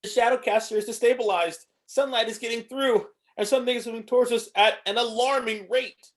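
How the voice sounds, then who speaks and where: A woman's voice: a little high, steady tone, brisk, lightly acted. A woman playing an anxious, sassy robot sidekick to a supervillain in a script table read. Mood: panicked.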